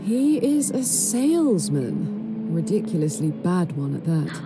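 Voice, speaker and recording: Sardonic, bored vampire lady, lively lowish voice. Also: a little slow, over music. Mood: teasing. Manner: sardonic, teasing, theatrical drawl. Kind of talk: video game character acting.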